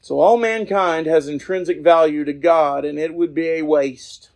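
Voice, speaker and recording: A man hosting a podcast in an informal preaching style, talking in an earnest, folksy, halting way outdoors. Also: on the high side, fairly even intonation, darkish tone. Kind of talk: informal evangelistic talk.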